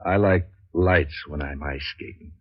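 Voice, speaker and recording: An American actor playing a wisecracking, unflappable private eye, deadpan and terse, in 1940s radio noir style. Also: male voice, extremely low pitch, a little slow, breathy edge, full character voice. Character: cynical, wisecracking hard-boiled male private investigator, unflappable. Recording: dull 1940s radio recording.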